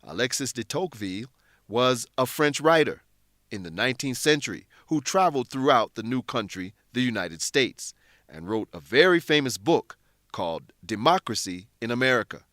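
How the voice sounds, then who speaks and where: A man narrating a scripted English lesson in a deliberate, instructional manner. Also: mid-range voice, expressive, fairly bright tone, no room sound, studio quality.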